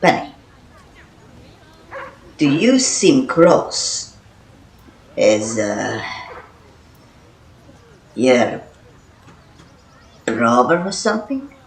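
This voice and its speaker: A man's voice: medium pitch, sing-song, very slow, vocal fry, long pauses, roomy sound, noisy background, heavily acted. A man playing a blunt, foul-mouthed squad member in an amateur audio drama, rambling and hesitant. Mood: musing.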